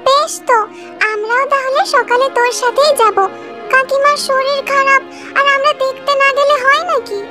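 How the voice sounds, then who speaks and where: Woman voicing an earnest, very high-pitched cartoon granddaughter. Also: fairly even intonation, moderate pace. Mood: caring. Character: caring young granddaughter, earnest and dutiful. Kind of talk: cartoon dialogue. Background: background music.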